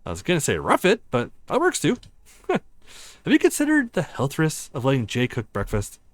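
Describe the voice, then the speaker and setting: Excitable, teasing young skier, read by a man. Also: on the high side, normal speed, dry recording. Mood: playful banter.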